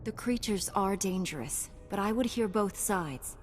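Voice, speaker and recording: A woman's voice: mid-range voice, expressive, a little slow, close to an everyday voice. Woman as composed knight, faint music behind. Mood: composed, firm. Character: composed, fair-minded young female Jedi knight. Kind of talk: video game cutscene acting.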